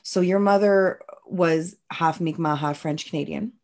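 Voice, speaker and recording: A woman hosting a podcast, interviewing her guest carefully and gently, and often stopping to clarify points. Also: fairly low pitch, unhurried. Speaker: podcast host.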